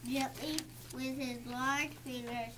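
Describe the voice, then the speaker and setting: A young reader reading a story aloud haltingly, word by word, with stumbles. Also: sits high, fairly even intonation. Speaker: student reader in readers theatre. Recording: noisy room recording.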